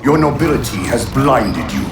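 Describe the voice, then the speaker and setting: A man's voice: mid-range voice, big pitch swings, moderate pace, natural voice. A man playing an ancient, imperious alien villain in a game cutscene, speaking with grand, formal contempt for humans. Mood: scornful. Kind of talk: dramatic video game cutscene acting. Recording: cavernous echo, distant voice, music behind. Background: music.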